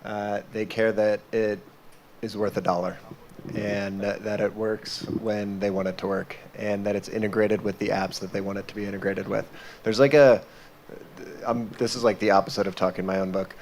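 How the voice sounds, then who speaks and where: A man speaking frankly on a conference panel, measured and slow in places. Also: fairly low pitch, flat delivery, a little mellow, slight background noise.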